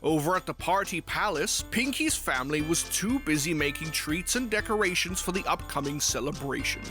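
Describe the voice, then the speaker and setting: A woman's voice: deep voice, animated, moderate pace, fairly bright tone, faint music behind. A woman reading scripted storybook narration as the narrator.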